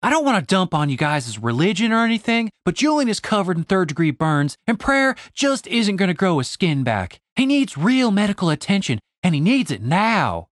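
A man voicing a sleepless, blunt young woman who shouts in exasperation. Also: high-pitched, very animated, moderate pace, few pauses, dry recording, clean studio sound, pitched above the performer's own voice. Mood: frazzled.

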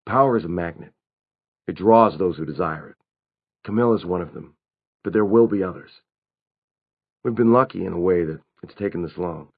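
Male narrator as adult werewolf leader, calm, grave, measured, neutral tone, slightly muffled audiobook sound. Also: low voice, husky.

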